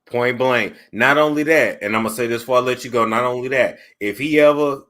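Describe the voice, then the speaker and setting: Sex: male. Role: call-in show host. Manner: loose, slangy, easy laughs. Pitch: mid-range. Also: a little fast, a bit bright. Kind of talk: casual call-in chat.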